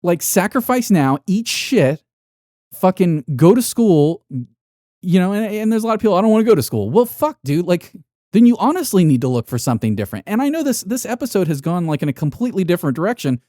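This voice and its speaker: Mellow-voiced, blunt man podcasting solo. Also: sits high, very animated, brisk, no echo, clean studio sound. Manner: blunt, heavily swearing, emphatic motivational ranting. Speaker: podcast host. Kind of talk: unscripted solo podcast monologue.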